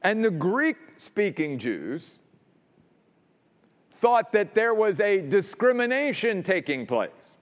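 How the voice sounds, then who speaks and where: A man preaching a sermon as pastor, emphatic, dropping low and quiet to stress points. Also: sing-song, slow pace, big-room sound.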